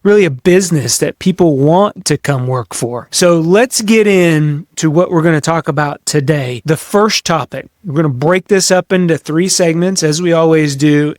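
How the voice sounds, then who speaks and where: A man's voice: on the high side, no room sound, clean studio sound. A man hosting a leadership podcast, giving practical business advice in a conversational, instructive way and leaning on key words for emphasis. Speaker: podcast host, leadership coach. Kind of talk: practical business advice talk to camera or mic.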